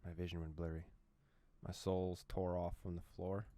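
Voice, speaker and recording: Low-voiced man reading a game aloud. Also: little pitch movement, moderate pace, dark tone, no echo. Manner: playful, laughing asides, dramatic reading. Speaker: let's play host. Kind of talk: reading a visual novel aloud with reactions.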